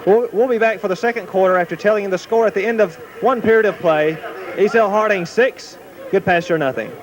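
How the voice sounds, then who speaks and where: A young man doing play-by-play commentary of a high school football game, steady and informative, climbing to excited shouts on big plays, recorded outdoors at a stadium. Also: brisk. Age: young adult. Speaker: play-by-play announcer. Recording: noisy amateur 1970s recording.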